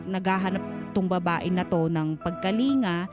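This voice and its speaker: A woman's voice: lowish voice, moderate pace, over music. A Filipino woman co-hosting a radio advice show, talking in a blunt, colloquial, casual way. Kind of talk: casual conversational advice on air.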